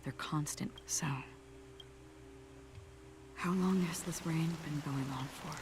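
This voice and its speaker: An actress voicing a calm, solemn woman wanderer in a game cutscene, speaking softly and evenly. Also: deep voice, slow pace, background noise. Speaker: game character. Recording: game audio with music under it.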